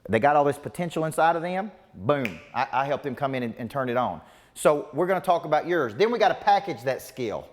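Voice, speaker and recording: Man in his forties, motivational coach, slightly raised pitch, emphatic and repetitive, long hall reverb. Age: 40s. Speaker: business coach. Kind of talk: motivational seminar talk. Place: large seminar room before a live audience.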